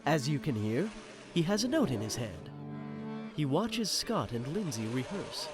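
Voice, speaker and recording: A man playing a chatty insider who narrates a high school comedy, confiding directly in the listener. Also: big pitch swings, a little slow. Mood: wry, animated. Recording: music behind the voice.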